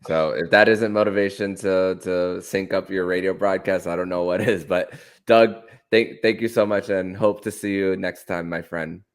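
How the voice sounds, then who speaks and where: A man hosting a sports podcast, talking in a halting way with repeated words and small stumbles. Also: deep voice, fairly even intonation, smooth. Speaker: podcast host.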